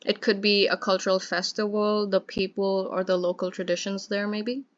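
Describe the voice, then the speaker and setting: A woman's voice: mid-range voice, level tone, moderate pace. Young woman asking interview questions, friendly, lots of likes, dull call sound. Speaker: student interviewer. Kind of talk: casual student interview. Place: at home.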